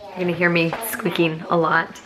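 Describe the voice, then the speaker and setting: A hoarse young woman chatting to camera as a foster parent, rambling with many fillers. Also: lowish voice, little pitch movement, normal speed, crowd noise, rough recording. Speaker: vlogger. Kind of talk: casual chat to camera.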